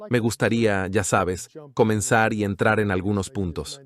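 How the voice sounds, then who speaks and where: A man's voice: on the low side, fairly even intonation, normal speed. A man hosting an interview show, introducing his guest and asking guiding, inquisitive questions. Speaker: interview host.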